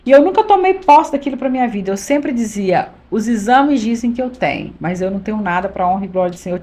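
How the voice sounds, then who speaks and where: A woman's voice: medium pitch, darkish tone. A woman in her fifties, a pastor's wife from Minas Gerais, telling her life story on a podcast in an earnest, emphatic way, often repeating phrases. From Minas Gerais, Brazil. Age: 50s. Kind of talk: conversational testimony.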